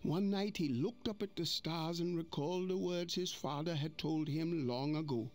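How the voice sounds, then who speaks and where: A man narrating a storybook read-along in an unhurried, expressive way. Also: fairly high pitch.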